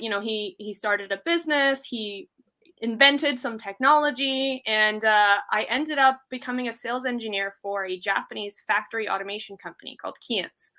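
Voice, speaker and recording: A woman in her thirties who grew up in Iceland, talking casually as a guest on an interview show. Her voice sits a little high and rings bright and forward, and she keeps filling her sentences with ums and you-knows. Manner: chatty, many fillers like um and you know.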